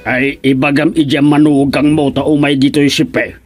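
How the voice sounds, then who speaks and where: An actor with an Ilocano accent playing an excitable, bossy older father-in-law in a comedy radio drama, calling out orders. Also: a little high, moderate pace, faint music behind, natural voice.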